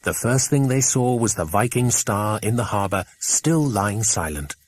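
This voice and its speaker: A man's voice: on the low side, expressive, a little slow. A man with an English accent reading a children's adventure story as an audiobook narrator.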